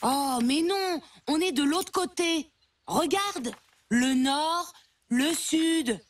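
A woman voicing a serious, impatient young boy in a French cartoon, deliberate and insistent. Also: high voice, expressive, full character voice. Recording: music under dialogue.

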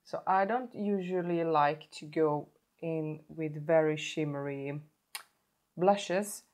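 A woman's voice: sits low, slow pace, a little mellow. A woman in her thirties with a sore throat, chatting through a makeup tutorial and stopping often to correct herself. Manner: chatty, self-correcting, stops to show products. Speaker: beauty vlogger. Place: indoor home filming set.

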